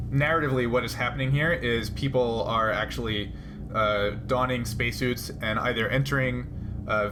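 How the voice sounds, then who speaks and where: A man running a tabletop role-playing game, explaining rules and narrating in a conversational way. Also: fairly low pitch. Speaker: game master.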